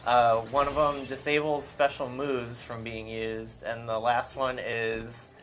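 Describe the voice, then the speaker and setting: Mid-pitched man casually explaining game mechanics. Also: flat delivery, slow, a bit bright, a little hiss or hum, low-quality recording. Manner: informal, matter-of-fact. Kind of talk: casual technical gameplay explanation.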